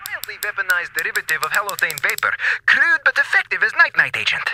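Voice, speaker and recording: High-pitched Russian mad scientist. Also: male voice, wildly swooping pitch, quick pace, bright, forceful tone, slight background noise, full character voice. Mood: smugly clinical. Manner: clinical, clipped phrasing with dropped articles. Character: cheerfully sinister male mad scientist. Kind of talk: comic radio drama acting.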